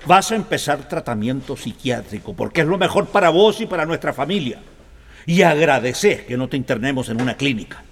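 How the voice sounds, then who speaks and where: A man's voice: fairly high pitch, lively intonation, fairly quick, breathy edge, long reverb tail. An actor playing a strict middle-aged father in a radio drama, with a Costa Rican accent and a stern, scolding tone.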